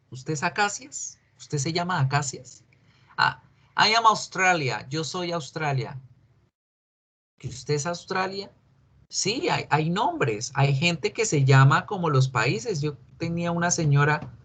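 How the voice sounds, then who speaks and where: A middle-aged man with a Colombian Spanish accent, teaching an English class online and mixing Spanish with English as he repeats key points. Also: on the high side.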